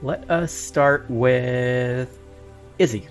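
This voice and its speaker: Man hosting a podcast over clear music. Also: mid-range voice, drawn-out words. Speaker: podcast host. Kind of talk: casual hosting of a podcast discussion. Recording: music playing behind the voice.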